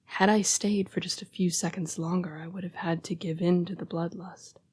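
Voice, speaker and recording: A woman playing a remorseful, gentle young vampire in an intimate roleplay audio, speaking in a breathy, halting hush. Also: fairly low pitch, steady tone. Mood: anguished, fearful. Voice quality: breathy, whispery. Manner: hushed, halting, earnest and apologetic. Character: remorseful, gentle young female vampire, protective, fighting bloodlust. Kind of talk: roleplay audio acting, intimate dramatic monologue.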